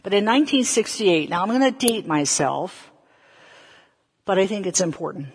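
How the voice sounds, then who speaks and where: An American woman in her fifties from the South, a preacher telling personal stories in a deliberate manner and putting questions to her listeners. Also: medium pitch, lively intonation, husky. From Southern United States. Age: 50s. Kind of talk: sermon with personal storytelling. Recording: echoey hall with long reverb.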